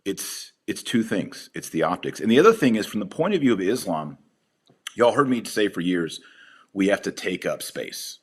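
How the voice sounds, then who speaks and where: A man hosting a podcast, hoarse from a chest cold, talking earnestly and leaning deliberately on key words. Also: sits low, sing-song. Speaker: podcast host. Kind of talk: podcast commentary.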